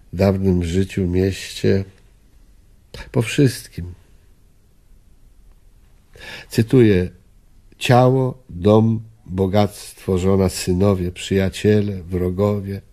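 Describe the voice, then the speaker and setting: An older man playing a gentle, melancholy old friend in a radio drama, pensive, probing and a little hesitant. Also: low-pitched, full character voice. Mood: mournful.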